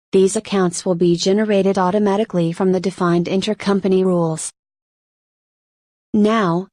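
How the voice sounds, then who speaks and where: A woman reading scripted instructional narration for a software tutorial in a measured, step-by-step manner. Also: lowish voice, no room sound.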